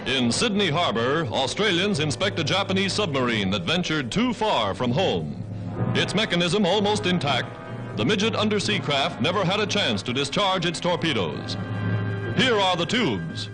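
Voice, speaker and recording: A man's voice: a little high, sing-song, normal speed, over music, low-quality recording. An American man narrating a wartime newsreel in a dramatic, declamatory 1940s style. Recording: vintage 1940s newsreel soundtrack.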